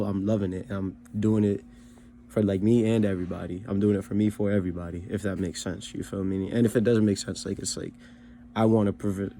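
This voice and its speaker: A man's voice: deep voice, dark tone, slight background noise. A young man casually recapping his karaoke shows in a laid-back, slangy way, full of fillers like "you know what I mean". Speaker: rapper learning to sing, vlog host.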